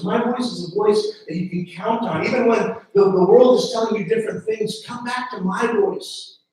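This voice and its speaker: Man, pastor, earnest sermon delivery, stumbles and repeats openings; heard from afar, boomy reverberant room. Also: a little mellow. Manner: earnest, repeats words when starting phrases. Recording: very echoey room from a distance.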